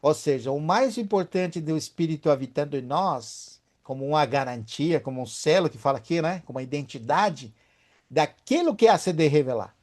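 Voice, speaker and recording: A man's voice: fairly high pitch, lively intonation, normal speed, a little mellow. A man leading a Bible study, emphatic and often asking his listeners for agreement.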